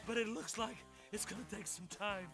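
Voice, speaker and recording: High-pitched pleading delivery man. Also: moderate pace. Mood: urgent, pleading. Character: stranded vending machine delivery man pleading with a superhero. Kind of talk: dubbed video game cutscene acting. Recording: game audio with music.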